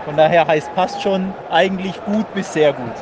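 A high-voiced man chats about football in a casual, excitable, joking way as a podcast co-host. He is recorded outdoors in a stadium, with very loud noise surrounding his voice. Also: normal speed. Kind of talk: casual live match chat. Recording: very noisy stadium background.

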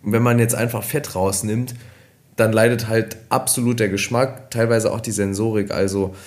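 A man, a nutrition expert co-hosting a podcast, explaining casually with many fillers, slightly hoarse from a cold. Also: fairly low pitch, fairly even intonation, normal speed.

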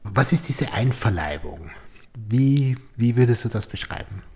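Male podcast host, low dark husky voice, circles around his questions. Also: expressive, unhurried. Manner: asks rambling, reformulated questions with many restarts. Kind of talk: interview conversation.

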